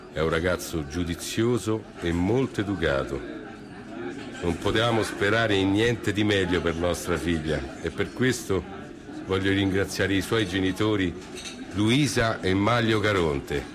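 A man's voice: low-pitched, unhurried, crowd noise. An actor playing a proud middle-aged father making a formal, sentimental wedding speech in a radio drama. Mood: proud, emotional. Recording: ambient noise with birdsong behind.

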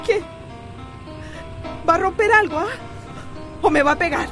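A woman's voice: very high voice, unhurried, a bit bright, soft background music. A woman with a Costa Rican accent playing a weary but determined wife and mother in a radio drama. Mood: accusing, upset.

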